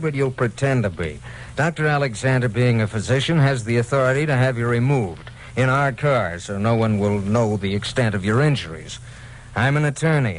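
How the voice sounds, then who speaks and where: An actor playing a calculating, crooked attorney in a vintage radio detective drama, suave and persuasive. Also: male voice, mid-range voice, lightly acted. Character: smooth-talking crooked male attorney, calculating and commanding. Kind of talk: 1940s-50s radio detective drama acting. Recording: noisy vintage radio recording.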